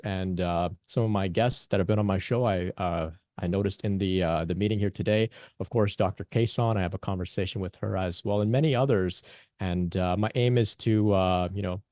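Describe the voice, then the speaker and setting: A man's voice: on the low side, a little mellow, no echo, clean studio sound. A young man, born in Pakistan and raised in Canada, giving an earnest conference talk as a spiritual teacher, with many fillers and restarts. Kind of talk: conference talk, personal story.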